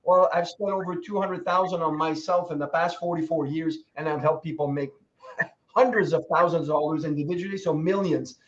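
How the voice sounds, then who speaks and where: A man in his sixties tells motivational stories in a high, bright register. He laughs between lines and acts out the voices of the people he quotes. The sound is dull and lo-fi. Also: fairly even intonation, fairly quick. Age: 60s. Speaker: guest speaker. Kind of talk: motivational storytelling.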